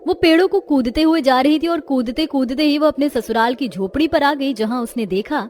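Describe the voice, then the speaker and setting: A woman's voice: sits high, brisk, darkish tone, slight background noise. A woman delivering scripted, dramatic narration for a cartoon story.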